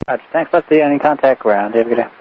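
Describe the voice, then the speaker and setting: A muffled aviation radio with faint noise carries an American man working as a tower controller. He talks in clipped, procedural phrases at a middling pitch. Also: racing. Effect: aviation radio transmission. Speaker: airport tower air traffic controller. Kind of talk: air traffic radio communication.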